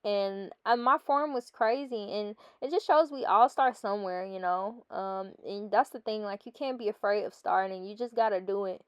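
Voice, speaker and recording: A woman's voice: medium pitch, moderate pace, hardly any pauses, clear, resonant tone, dry recording. Chatty stuffy-nosed young woman. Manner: chatty, laughing, talks to viewers as "guys". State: stuffy nose. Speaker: fitness vlogger. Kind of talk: casual voice-over chat.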